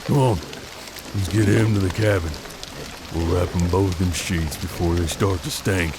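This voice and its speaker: An actor playing a tough, practical frontier bounty hunter in a western audio drama, with drawling, folksy menace. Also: male voice, deep voice, measured pace, background noise. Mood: grimly practical.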